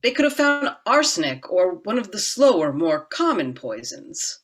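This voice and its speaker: A woman playing a grave, measured doctor in a radio drama. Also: on the low side, sing-song, breathy edge. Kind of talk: old-time radio drama acting.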